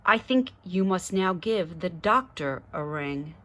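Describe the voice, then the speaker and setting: A woman's voice: lowish voice, expressive, slow, a bit bright, a little hiss or hum. Woman acting a stern, nagging storybook mother. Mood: stern scolding. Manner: scolding, singsong rhyme. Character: stern, nagging mother scolding her daughter.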